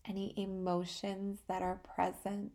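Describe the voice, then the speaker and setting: A woman leads a guided meditation at a very unhurried pace. Her voice is soft-edged and mellow, with a creaky fry in it. She sounds calm and soothing, leaving long pauses between short phrases. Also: medium pitch. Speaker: guided meditation podcast host.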